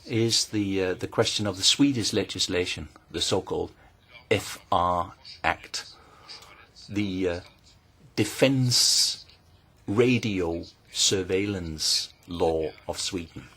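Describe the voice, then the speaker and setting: A man speaking slowly and deliberately to a committee, with a husky, breathy edge to his voice. His pitch sits fairly low, and he pauses often as he builds each point. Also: fairly bright tone. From Norway. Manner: measured, deliberate, with frequent pauses and hesitations. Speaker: invited speaker at a parliamentary hearing. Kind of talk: formal testimony.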